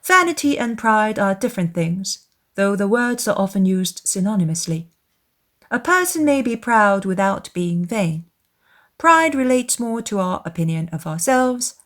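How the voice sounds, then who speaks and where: A woman's voice: mid-range voice, expressive, unhurried, lightly acted. A woman voicing a solemn, bookish young woman who lectures pompously in a dramatized classic novel. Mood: pompous, earnest.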